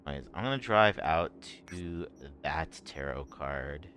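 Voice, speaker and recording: A man streaming a game, talking in a rambling, halting way with many fillers, his voice a bit rough and strained. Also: extremely low pitch, expressive, a bit bright, a little hiss or hum. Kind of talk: casual gameplay commentary.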